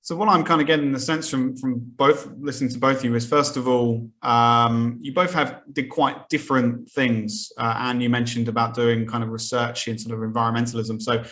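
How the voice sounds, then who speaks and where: A man's voice: medium pitch, steady tone, moderate pace, few pauses, fairly bright tone. Thoughtful male podcast host. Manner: thoughtful, summarizing with many fillers. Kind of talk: conversational interview questions.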